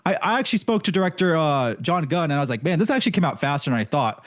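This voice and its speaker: Friendly host with a dry, close sound. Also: male voice, a little high, big pitch swings, rapid-fire, dry recording. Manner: friendly, laughs between questions, says "man" a lot. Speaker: interview host. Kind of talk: casual interview chat.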